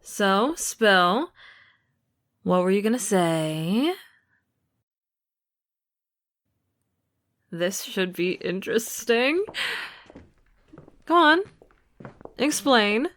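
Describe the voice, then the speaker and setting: A woman's voice: mid-range voice, lively intonation, drawn-out words, long pauses, a bit bright. A woman acting as a teasing, easygoing older sister in an audio roleplay, with playful, exaggerated reactions. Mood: delighted, curious.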